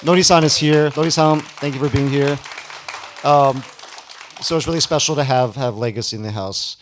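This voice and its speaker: A man hosting a live ceremony, introducing guests to an audience, partly reading and partly speaking off the cuff. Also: medium pitch. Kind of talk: public introduction, reading a bio then ad-libbing. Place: live event before an audience.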